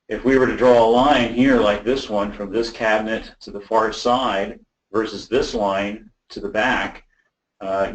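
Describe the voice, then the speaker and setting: Male webinar presenter, lower register, explains technical design informally and haltingly, roomy echoing pickup. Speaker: audio system design presenter.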